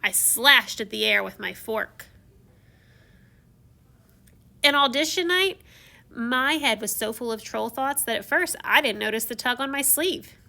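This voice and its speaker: A woman's voice: fairly high pitch, lively intonation, normal speed, gravelly, sharp tone, full character voice. A woman reading a storybook in the first person as a theatrical, confiding, self-mocking young prince who became a beast. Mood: confiding, eager. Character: theatrical, once-shy young prince turned beast, telling his tale.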